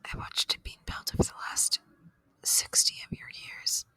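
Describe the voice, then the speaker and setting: A gentle, lonely alien, played by a woman, whispers right up close in a bright, airy hush. The delivery is slow, soothing and reflective, full of quiet curiosity about humans. It is an intimate ASMR roleplay, recorded very dry. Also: no echo, poor audio. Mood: fascinated. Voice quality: breathy whisper. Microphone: close, dry microphone.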